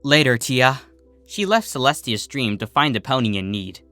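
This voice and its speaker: Expressive male storyteller, bright voice, music underneath. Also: mid-range voice, brisk, dry recording. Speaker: storyteller reading fan fiction aloud. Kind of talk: expressive scripted story narration.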